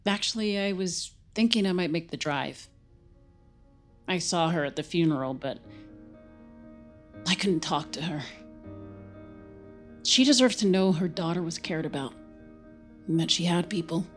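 A woman's voice: fairly low pitch, animated, a little slow, pauses often. An actress playing a reflective, grieving woman in a naturalistic audio drama. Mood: gentle, subdued. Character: Felicia, reflective grieving woman narrating, friend of the lost girl. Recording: music behind the voice.

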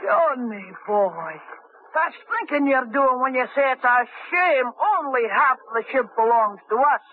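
An actor playing a coaxing, scheming sailor in a 1950s radio drama, heard through a tinny old broadcast. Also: male voice, very high voice, lively intonation, a little slow, a little hiss or hum. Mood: sly. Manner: coaxing, sly, keeps calling his partner "John boy". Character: scheming wheedling sailor plotting murder, a grown man.

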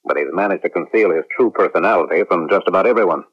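An actor playing a resentful, manipulative colonel in a late-1970s radio drama. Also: male voice, low voice, quick pace, breathy edge. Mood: confiding, insinuating. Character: scheming, resentful male colonel, smooth manipulative instructor. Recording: muffled, lo-fi vintage radio recording.

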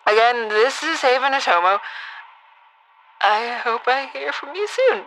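An actress playing a troubled, tipsy shooting survivor in an audio drama, halting and hesitant as she leaves a voicemail. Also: fairly high pitch, expressive, a bit bright, a little hiss or hum, rough recording. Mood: pleading, subdued. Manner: halting, hesitant, with nervous laughs. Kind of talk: naturalistic audio drama acting.

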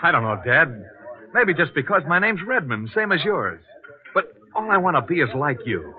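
A man's voice: a little high, big pitch swings, moderate pace. An actor playing an earnest, stubborn young man, a laborer's son, in a 1940s radio drama. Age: young adult. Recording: tinny 1940s radio broadcast.

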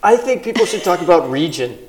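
A man's voice: sits high, very animated, fairly quick, darkish tone. A man hosting a live podcast, asking thoughtful, rambling questions with many restarts. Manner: thoughtful, rambling, self-interrupting. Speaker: podcast host. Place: live event on stage.

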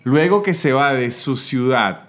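A man's voice: medium pitch, measured pace. A man from San Cristóbal, Venezuela, with an Andean Venezuelan accent, a podcast guest chatting casually and laughing as he tells stories. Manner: laughing, animated storytelling. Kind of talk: casual chat.

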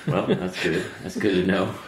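A man hosting a casual conversation, asking relaxed, curious, halting questions. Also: deep voice, a crowd in the background. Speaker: host. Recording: roomy, faint ambience.

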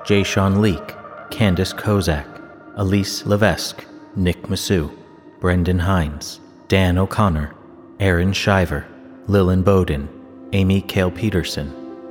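A man reading scripted credits as the announcer of an audio production. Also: deep voice. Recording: long reverb, music under the voice.

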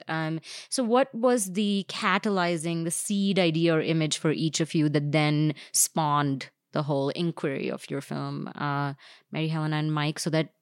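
A woman speaks as a podcast interviewer in a slightly low register. Her questions are conversational and lightly teasing, captured in a dry space with little room sound. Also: no room sound. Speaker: podcast host interviewing filmmakers.

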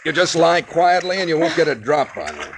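A commanding boat captain. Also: male voice, on the high side, big pitch swings, vocal fry. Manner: brisk and commanding. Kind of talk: 1940s radio acting. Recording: old radio recording with hiss.

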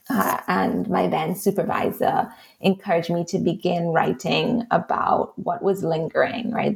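A woman with a Trinidadian accent, an author answering interview questions in a thoughtful, measured way. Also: on the low side, gravelly. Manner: thoughtful, measured, frequent "right?" and "um". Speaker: author and researcher, interview guest.

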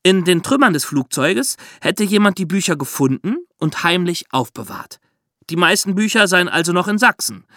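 Animated, higher-pitched man telling true crime history. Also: normal speed, no room sound, studio quality. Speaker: podcast host explaining true crime history. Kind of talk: conversational storytelling, explanatory.

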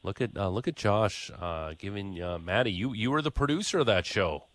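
Lowish-voiced man hosting sports radio. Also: moderate pace, fairly bright tone, dry recording. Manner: conversational, opinionated, fillers. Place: radio studio. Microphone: studio mic.

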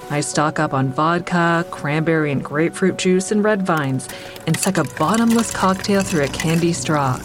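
A woman's voice: lowish voice, lightly acted. An actress playing a guilt-ridden, self-critical crisis counselor who tells her story in confessional first-person audio drama narration. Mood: weary, sardonic. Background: prominent music.

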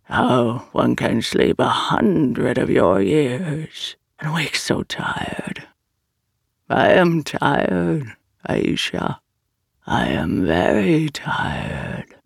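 Narrator putting on an ancient male fire spirit, deep, gravelly, husky, very slow, solemn, weary. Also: very animated, no room sound, studio quality, heavily acted. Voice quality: deep rumbling voice, sometimes whispered.

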